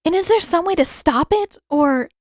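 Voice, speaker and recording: A woman's voice: very high-pitched, animated, moderate pace, no room sound, clean studio sound, voice pushed up. A woman voicing an earnest, impatient teenage girl who gets flustered and questions urgently in an audiobook-style fan story.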